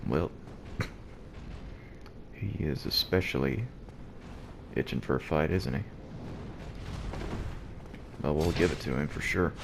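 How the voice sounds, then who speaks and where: A man quietly giving gameplay commentary at home early in the morning, keeping his deep, low voice hushed so he won't wake anyone. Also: takes it slowly, vocal fry, long pauses. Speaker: let's play host. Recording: noisy background hiss.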